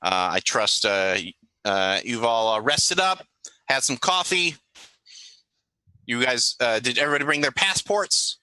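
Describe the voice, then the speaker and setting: Man playing a dry, businesslike woman agent, bright-voiced. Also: medium pitch, lively intonation, smooth, dry recording. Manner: halting, dryly joking. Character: businesslike, wry female government agent giving a briefing.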